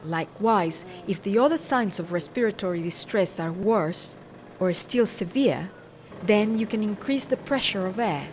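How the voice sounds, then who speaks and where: A woman's voice: mid-range voice, animated, normal speed, a little mellow. Instructional woman narrator with faint background noise. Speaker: training film narrator and instructor.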